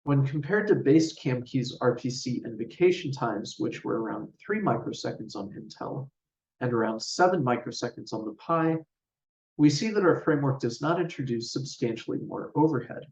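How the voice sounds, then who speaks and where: A man giving a scripted technical conference presentation in a measured, formal, explanatory way. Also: mid-range voice, expressive, darkish tone. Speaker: researcher presenting a paper. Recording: roomy, distant mic, dull sound.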